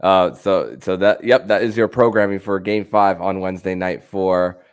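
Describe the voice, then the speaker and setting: A man's voice: deep voice, normal speed, smooth. A man hosting a sports podcast in a conversational style.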